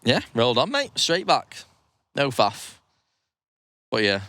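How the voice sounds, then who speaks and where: A man's voice: mid-range voice, wildly swooping pitch, slow, sharp tone, a little hiss or hum. A young man co-hosting a podcast, bantering in slang and laughing. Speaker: podcast co-host. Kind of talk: casual chat.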